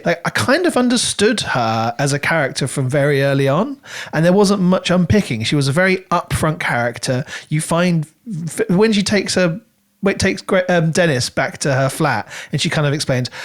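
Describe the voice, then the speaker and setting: A man co-hosting a podcast, talking through a book in a casual, conversational and rambling way. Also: fairly high pitch. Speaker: podcast co-host reviewing a book.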